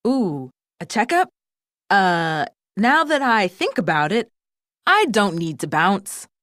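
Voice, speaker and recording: A woman's voice: medium pitch, big pitch swings, takes it slowly, a bit bright, dry recording, studio quality. A woman voicing a nervous male toy bouncy ball in a storybook, boyish and hesitant, full of bravado. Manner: hesitant, protesting, putting on bravado.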